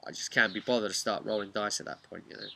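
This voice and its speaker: A man with a very bright, forceful voice, slightly low in pitch, recounts a tabletop game as its game master. He is conversational and halting, leaning hard on key words. There is faint noise and clicking behind him. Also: fairly even intonation, creaky. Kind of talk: casual recap of a tabletop role-playing game.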